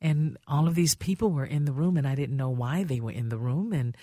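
A woman's voice: low-pitched, fairly quick, dry recording, clean studio sound. A woman radio talk show host tells a heartfelt story about how she got her start on air, acting out other people's voices in her anecdotes. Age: older. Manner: warm reminiscing, acts out voices in her anecdotes. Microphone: studio microphone.

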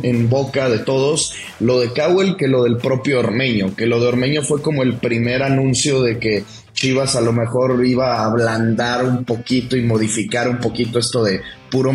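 A Mexican man on a sports podcast, telling football stories in a lively way and acting out quotes. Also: mid-range voice. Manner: lively storytelling, acts out quotes. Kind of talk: casual football talk. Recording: roomy echo.

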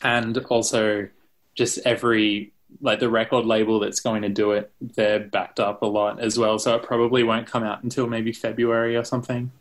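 Low-voiced rambling Australian guy. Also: flat delivery, normal speed. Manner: rambling, many fillers and restarts. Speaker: musician guest. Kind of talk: casual interview chat.

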